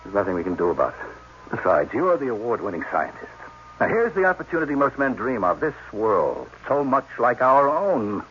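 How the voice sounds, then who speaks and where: An actor playing an indignant, questioning space colonel stranded far from home, in a 1980s radio drama style. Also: male voice, medium pitch, very animated, moderate pace, fairly bright tone. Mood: reasoning, insistent. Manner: demanding, emphatic questioning. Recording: dull, noisy old radio broadcast.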